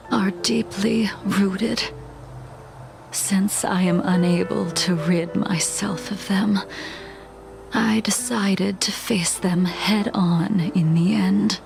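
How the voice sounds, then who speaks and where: A woman playing a calm, weary swordswoman haunted by vengeance in a video game, speaking softly and solemnly with measured delivery. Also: mid-range voice, creaky. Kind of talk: dramatic video game voice acting. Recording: background music over game dialogue.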